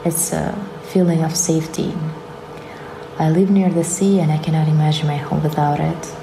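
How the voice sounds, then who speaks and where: A woman from Ukraine slowly and deliberately reading a prepared statement about her artwork. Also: deep voice, steady tone, gravelly, noisy background. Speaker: exhibiting artist. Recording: noticeable background ambience.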